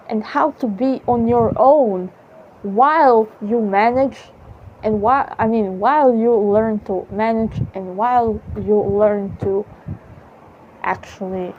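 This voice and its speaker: A woman with a Serbian accent musing aloud about life in a halting, self-correcting way, swearing casually now and then. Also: on the high side, a little hiss or hum. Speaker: vlogger. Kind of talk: rambling philosophical musing. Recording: muffled lo-fi audio.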